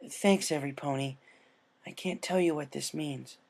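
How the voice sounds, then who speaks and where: An earnest, stubborn cartoon pony mare as a male reader puts her on. The voice sits somewhat high and carries open emotion, suited to a heartfelt storybook tale. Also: moderate pace, gravelly, a little mellow. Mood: touched, grateful. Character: excitable, earnest female cartoon pegasus pony, grateful and stubborn.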